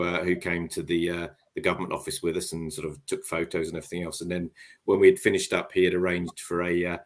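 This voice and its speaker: A man with a notably deep, low voice shares a personal story as a guest on a live web panel. He talks casually and haltingly, filling pauses with ums and restarting phrases. He speaks over a video call. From expat living in Japan.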